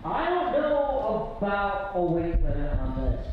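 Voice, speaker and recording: A man's voice: sits high, very animated, slow pace. A man calling bids at a live auction in a casual, slangy way. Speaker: auction bid caller. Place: large indoor auction room. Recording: very echoey hall, dull sound, faint noise.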